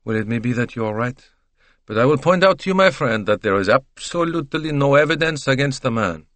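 A man playing a meticulous detective who speaks, courteous and formal. His phrasing is measured and deliberate, recorded close and dry. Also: mid-range voice, expressive, a bit bright, dry recording, voice pushed up, lightly acted. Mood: probing. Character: precise, polite middle-aged male detective.

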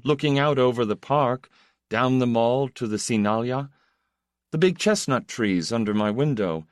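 A man's voice: mid-range voice, smooth, no echo, clean studio sound, voice pushed up, natural voice. A man voicing an intense, melancholy younger brother, a proud poet who speaks in a restless, confessional, emphatic way.

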